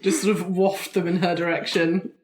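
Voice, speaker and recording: A woman's voice: fairly low pitch, level tone, normal speed, crowd noise. A woman playing a tabletop roleplaying game, casually and playfully improvising what her character does. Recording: roomy, echoing recording.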